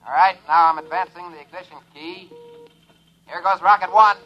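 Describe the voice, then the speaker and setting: A man's voice: sits high, steady tone, normal speed, muffled recording, soft background music, close to an everyday voice. An American man playing a cocky, daring test pilot in a 1950s radio drama, giving breezy, clipped reports. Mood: businesslike, confident. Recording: old 1950s radio broadcast recording.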